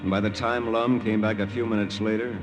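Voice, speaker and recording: A man's voice: fairly low pitch, little pitch movement, fairly quick, natural voice. An actor playing a dry, wisecracking American army lieutenant who narrates his secret mission in a 1950s radio drama. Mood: tense narration. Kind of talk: 1950s radio drama acting, hard-boiled first-person narration. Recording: old 1950s radio recording, loud music.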